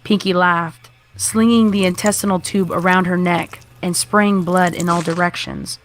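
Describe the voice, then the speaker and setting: A woman's voice: lowish voice, fairly even intonation, normal speed, heavy background noise. A woman reading a scripted horror story as its narrator.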